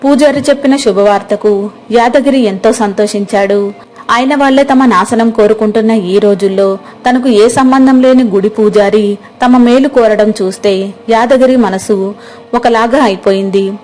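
A woman's voice: a little high, normal speed, darkish tone, faint music behind. A woman storyteller reading a scripted moral story as narration.

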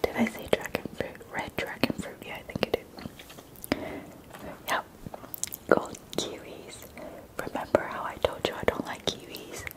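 A young woman whispering softly and chatting through an ASMR eating video, her nose stuffy from allergies, close to a binaural mic. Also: low-quality recording. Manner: soft unvoiced whisper, slow and chatty. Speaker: ASMR host. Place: indoors at a table.

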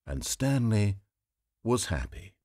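A wry, theatrical game narrator. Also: male voice, big pitch swings, darkish tone, no echo. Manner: measured, wry and theatrical, with sweeping pitch. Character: dry, wry male storybook narrator of a game. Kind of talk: scripted narration. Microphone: studio microphone. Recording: dry close studio recording.

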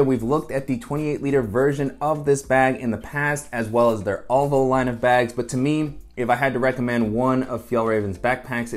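An American man in his thirties narrating a product review in a casual, conversational and explanatory way. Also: medium pitch.

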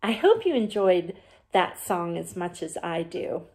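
A woman in her forties hosting a storytime for young children, talking playfully with puzzled, questioning pauses as if forgetful. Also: fairly low pitch, sing-song, a little mellow. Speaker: children's library storytime host. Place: small bedroom, indoors.

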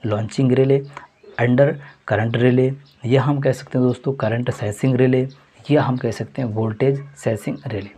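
A man with a husky, slightly breathy voice works through a mock test as a teacher. His pitch sits in an ordinary middle range. He repeats the answers and keeps calling his viewers friends. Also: steady tone, normal speed, mellow. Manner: repetitive, addresses viewers as friends. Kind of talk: exam question walkthrough.

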